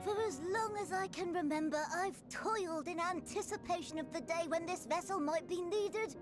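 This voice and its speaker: Squeaky, eager little rabbit creature. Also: male voice, normal speed, music in the background. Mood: earnest, pleading. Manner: excitable, pleading, flowery British phrasing. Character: small, eager, earnest rabbit-like creature, devoted to serving. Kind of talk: video game cutscene acting.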